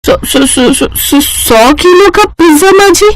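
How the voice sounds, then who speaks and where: A woman's voice: very high-pitched, animated, a little slow, no room sound, faint music behind, pitched above the performer's own voice, poor audio. A woman voicing an exasperated young newlywed bride in a cartoon, whining and incredulous. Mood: stunned.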